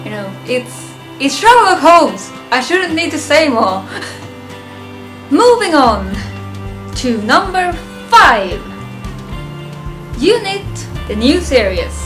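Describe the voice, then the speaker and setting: A woman's voice: high voice, big pitch swings, slow pace, pauses often, over music. A young woman talking casually and chattily to her viewers as a video reviewer, giving commentary on a list. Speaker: YouTube reviewer.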